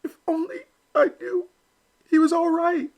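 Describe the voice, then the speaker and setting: A man voicing Elmer, a gentle, loyal young male duckling, soft and reassuring. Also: extremely high pitch, lively intonation, a little slow, pitched above the performer's own voice, full character voice, rough recording.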